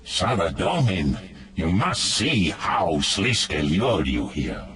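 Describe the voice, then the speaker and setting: Game god, male: very deep, slow and deliberate, gravelly, proud and wary, formal delivery. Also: very animated, a bit bright, slight background noise, full character voice. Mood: grave, reproving. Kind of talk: video game cutscene acting.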